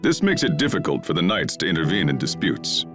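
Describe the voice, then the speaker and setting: A man playing a steady, authoritative middle-aged knight captain in a video game, formal and measured. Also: deep voice, over music. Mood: grave, measured. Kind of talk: English video game voice acting.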